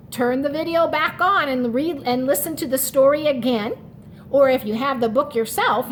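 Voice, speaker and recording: Slow, expressive woman teacher reading to children. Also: high voice, slight background noise, rough recording. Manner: expressive, instructional, asks questions. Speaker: teacher and storyteller. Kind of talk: children's read-aloud and lesson.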